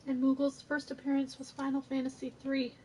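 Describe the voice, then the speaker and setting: A woman's voice: high voice, monotone, a little fast, a little mellow, a little hiss or hum, rough recording. A woman chatting casually alongside a game stream in a matter-of-fact way. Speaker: stream companion. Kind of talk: casual chat.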